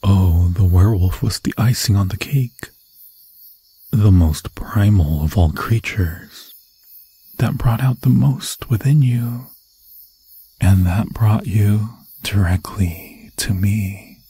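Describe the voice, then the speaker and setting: A man performs a lovesick, menacing demon lord for ASMR horror roleplay. The voice is low and very husky, often falling to a whisper. He speaks slowly and seductively in grand villain phrases, close and dry on the microphone. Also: mellow, exaggerated voice. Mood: gloating, adoring. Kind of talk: ASMR fantasy horror roleplay, theatrical monologue.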